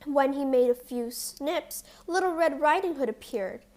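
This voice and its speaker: A woman with a high-pitched voice tells a children's fairy tale. She reads very slowly and deliberately, in the style of a scripted storybook narration. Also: lively intonation, darkish tone.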